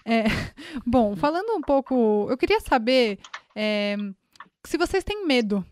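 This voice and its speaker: A woman's voice: on the high side, sing-song, slow, a little mellow, no room sound, studio quality. A woman hosting a podcast in a friendly way, giving context and asking her guests questions. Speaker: podcast host.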